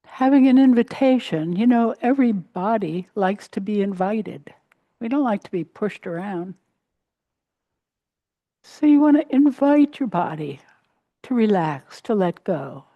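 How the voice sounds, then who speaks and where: A woman teaching a guided meditation in a gentle, unhurried way, pausing between short phrases. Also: mid-range voice, expressive, no echo, muffled recording. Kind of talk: guided meditation, body scan.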